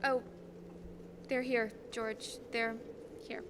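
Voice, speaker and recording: Young woman as high-voiced earnest guardian angel. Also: level tone, extremely slow. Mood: solemn. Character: earnest female guardian angel, gently moralizing. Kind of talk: filmed radio play acting, high school production. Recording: clearly noisy stage recording.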